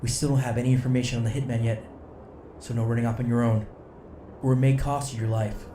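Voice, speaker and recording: An actor playing a cautious senior commander in a sci-fi audio drama, measured and authoritative. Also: male voice, medium pitch, flat delivery, close to an everyday voice. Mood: protective. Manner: measured, firm. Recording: echoey room, clear ambient noise.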